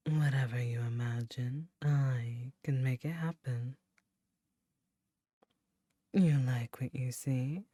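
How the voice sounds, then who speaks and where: An ancient demon queen, as a woman puts her on, whispering very low and dark right up against a close mic. She speaks slowly and intimately, teasing yet motherly. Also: fairly even intonation, no room sound, heavily acted. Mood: seductive. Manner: teasing, then gently nurturing. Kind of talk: ASMR audio roleplay.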